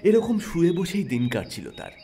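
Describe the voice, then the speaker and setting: A man narrating an audio story in an expressive, dramatic storytelling style. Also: fairly high pitch, moderate pace, creaky, a little hiss or hum. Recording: narration over background music.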